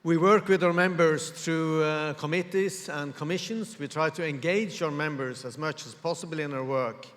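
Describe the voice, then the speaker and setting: A man from Norway gives a formal conference presentation in English, measured and pausing often to find his words. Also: a little high, a bit bright. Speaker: conference speaker. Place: large conference hall. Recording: reverberant hall with long echo.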